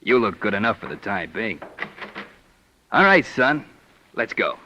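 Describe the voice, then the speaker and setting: An actor playing a wry, sardonic small-town police captain who needles a suspect, in a vintage radio detective drama. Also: male voice, fairly low pitch, big pitch swings, measured pace, bright, forceful tone, a little hiss or hum, heavily acted. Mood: smug, firm. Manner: drawling, sardonic, calls the suspect son. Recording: dull vintage radio recording.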